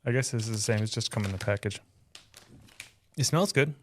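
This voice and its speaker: Easygoing Texan podcast co-host. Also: male voice, on the low side, fairly quick, a little hiss or hum. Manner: easygoing, joking, unboxing mail. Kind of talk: casual chat.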